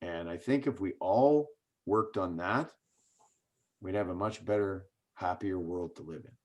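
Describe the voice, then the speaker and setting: A man's voice: low voice, unhurried, darkish tone. A man, a political candidate answering in an interview, earnest and speech-like, posing rhetorical questions and answering them.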